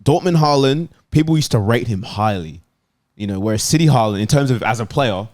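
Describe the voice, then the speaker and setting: A man's voice: medium pitch, animated, a little fast, a little mellow, no room sound, studio quality. A man in a casual panel chat, explaining his football views in a conversational, analytical way. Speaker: football panel show regular.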